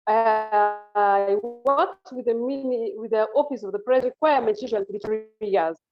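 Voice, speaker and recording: A woman's voice: a little high, normal speed. A middle-aged woman from northern Uganda with a Ugandan accent, speaking formally and politely as an interview guest over a video call. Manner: formal and courteous, addresses the host as Mister. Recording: muffled video call audio.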